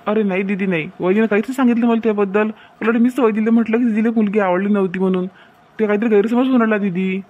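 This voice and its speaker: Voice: mid-range voice, fairly even intonation, very fast, a little mellow, dull audio, close to an everyday voice. A performer voicing a bashful, eager young man in an amateur cartoon family drama, with a Vidarbha Marathi accent. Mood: resigned. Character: bashful young man smitten with a prospective bride.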